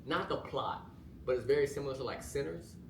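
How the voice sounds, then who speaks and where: Young male student actor, eager quick talker; distant noisy recording. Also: medium pitch, creaky. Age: young adult. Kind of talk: casual chat about rehearsals. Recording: roomy, distant mic, noisy.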